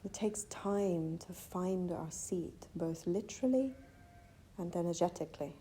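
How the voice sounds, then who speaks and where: A woman teaching guided meditation in a gentle, measured manner, leaving long pauses between phrases. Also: lowish voice, expressive. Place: retreat venue. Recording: faint background ambient noise.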